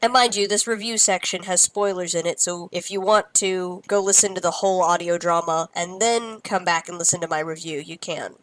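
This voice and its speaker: A woman's voice: lowish voice, steady tone, brisk, few pauses, dry recording. Dry close-recorded woman giving a critical review. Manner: critical, conversational. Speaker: reviewer and host. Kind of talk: semi-scripted review commentary.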